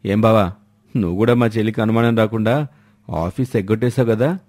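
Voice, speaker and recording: Guy doing a sly husband, kinda low and lazy, chummy schemer from a moral story. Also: fairly quick, a little mellow, close to an everyday voice. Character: sly card-loving husband, easygoing schemer.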